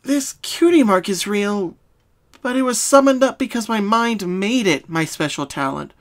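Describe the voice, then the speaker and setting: A woman voicing a sorrowful young female cartoon pony in a fan story, speaking softly and solemnly with hesitations. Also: mid-range voice, animated, voice pushed up, natural voice. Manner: soft, solemn, halting. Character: sad young female pony confessing her hidden identity.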